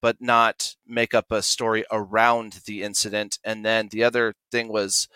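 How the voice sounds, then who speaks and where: A man's voice: lowish voice, level tone, normal speed, bright, forceful tone, clean tone, no echo. A man in his forties speaking conversationally as an interview guest, explaining his creative work with enthusiasm. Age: 40s. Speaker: guest, audio drama producer.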